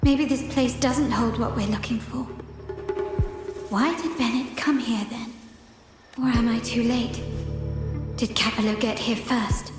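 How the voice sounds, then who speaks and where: A woman's voice: on the high side, fairly even intonation, unhurried, pauses often, close to an everyday voice. An actress playing a composed young woman investigator in a video game, murmuring thoughtfully to herself as she searches. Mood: pensive, searching. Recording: cavernous long reverb, loud game music.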